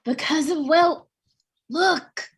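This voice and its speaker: A woman's voice: high-pitched, slow. A woman playing a wry, skeptical female co-op worker in a comedy table read. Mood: exasperated. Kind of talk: virtual table read of a sitcom script.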